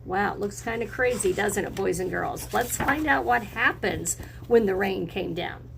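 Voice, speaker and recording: A woman's voice: medium pitch, moderate pace, gravelly, slight background noise. A woman teacher reading a picture book to young children, speaking expressively in simple phrases and putting encouraging questions to her listeners. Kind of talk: read-aloud lesson for children, with questions to listeners.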